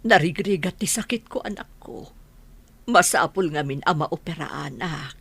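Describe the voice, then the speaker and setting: A woman playing a sick, long-suffering older mother in an Ilocano radio drama, with a husky, breathy voice. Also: sits low, expressive, normal speed, a bit bright, exaggerated voice. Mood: weak, pained.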